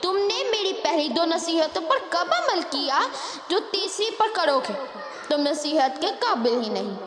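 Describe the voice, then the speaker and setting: A woman's voice: very high-pitched, very animated, unhurried, exaggerated voice. A woman voicing a clever little female sparrow who lectures formally in a children's moral storybook. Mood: scolding. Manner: admonishing, formal storybook speech. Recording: echoey hall-like reverb, crowd-like background noise.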